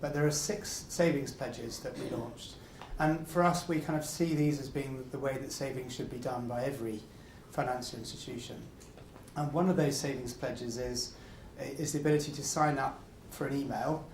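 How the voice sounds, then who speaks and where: A man's voice: mid-range voice, fairly even intonation, normal speed, a little mellow, echoey room, a little hiss or hum. A man representing a building society, answering an audience question courteously and encouraging people to sign up.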